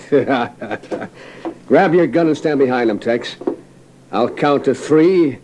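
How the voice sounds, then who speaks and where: A man's voice: medium pitch, extremely slow. An American man acting a cruel, taunting outlaw gang boss in an old radio western. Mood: taunting menace. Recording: noisy old radio recording with clicks.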